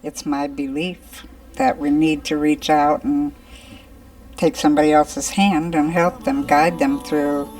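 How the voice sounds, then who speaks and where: A woman volunteer speaking slowly and earnestly. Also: sits low, steady tone, a little mellow, soft background music. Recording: distant mic.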